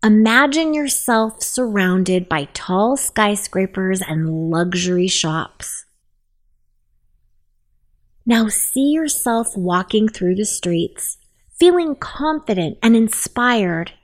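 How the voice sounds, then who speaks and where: A woman leading a guided meditation in a soft, soothing, unhurried voice. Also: medium pitch, lively intonation.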